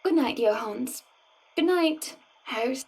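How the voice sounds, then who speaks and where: A woman's voice: sits high, animated, slow pace, a little mellow, breathy edge, full character voice, poor audio. An actress playing a polite, anxious young lady of a mansion in a video game, speaking genteelly. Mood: weary, resigned.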